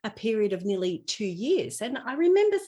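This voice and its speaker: A woman's voice: fairly high pitch, sing-song, brisk, dark tone. A woman podcast guest talking conversationally as a spiritual teacher, explaining things with personal anecdotes and everyday examples.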